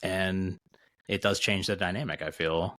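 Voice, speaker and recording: Deep-voiced man in his forties. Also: unhurried, dry recording, clean studio sound. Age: 40s. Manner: relaxed, candid, swears casually. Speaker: podcast co-host. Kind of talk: casual podcast conversation.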